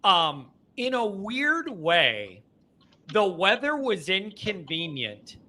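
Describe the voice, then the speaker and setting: A man hosting a call-in sports show from his basement, talking in an animated, rambling way and mocking himself now and then. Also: sits high, slow, slight background noise. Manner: animated, rambling, self-deprecating. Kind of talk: casual call-in chat. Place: home basement.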